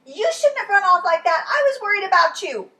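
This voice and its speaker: A woman plays a strict, excitable storybook dad with a soft heart. The voice sits high and swings widely, with drawn-out, emphatic delivery. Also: reverberant, full character voice. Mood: worried, reassuring. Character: strict father, exasperated, later tender and excited. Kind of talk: children's storybook read-aloud.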